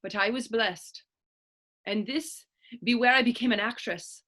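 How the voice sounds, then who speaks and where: A woman's voice: fairly high pitch, a little slow, sharp tone. A woman playing a tough, proud teenage Irish orphan turned actress in a readers theatre drama, telling her story in lilting old-fashioned idiom with an Irish accent. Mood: proud, earnest. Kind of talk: stage readers theatre acting in dialect.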